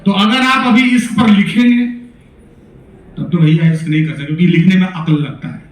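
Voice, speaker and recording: A man's voice: sits high, fairly quick, distant mic. Bihari man, teasing, in an echoey hall. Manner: teasing, folksy banter. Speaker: stage poet. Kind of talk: humorous address to students at a poetry event.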